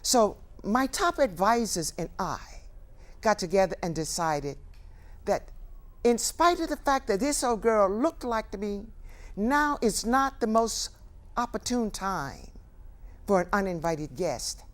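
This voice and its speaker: An older actress playing a wry, grieving, defiant ageing woman in a theatrical monologue, with deliberate pauses and emphatic delivery. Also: medium pitch, expressive. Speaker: stage actor performing a monologue.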